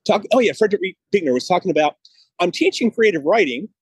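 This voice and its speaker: Chatty 50s guy podcasting off his phone, husky voice, kinda high. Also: animated, fast, dry recording. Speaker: podcast host. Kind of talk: casual chat. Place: walking outside and into a studio building. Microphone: phone held at arm's length on a video call.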